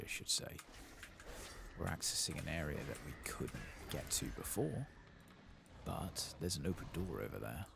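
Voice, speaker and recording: Very deep, creaky soft-spoken man. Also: noisy background. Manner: hushed, unhurried, muttering to himself. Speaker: streamer playing a fantasy game. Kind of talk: soft-spoken, whispered ASMR gameplay commentary.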